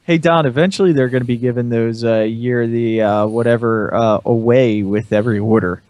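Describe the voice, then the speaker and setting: A man's voice: mid-range voice, steady tone, a little fast, a little mellow, no echo. A man speaking casually as one of the panelists on a podcast. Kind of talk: casual chat.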